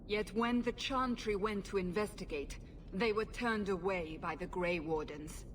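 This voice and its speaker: An actress playing a coolly suspicious interrogator in a video game, speaking formally and with pointed, measured words. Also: female voice, mid-range voice, a little hiss or hum, natural voice. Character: stern, probing woman interrogator, coolly suspicious.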